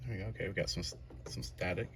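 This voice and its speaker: A man's voice: low-pitched, fast talker, noisy background. A man in his late thirties narrating a hands-on garage project in an informal, laid-back, self-deprecating way, like a casual vlog. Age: late 30s. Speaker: car restoration vlogger.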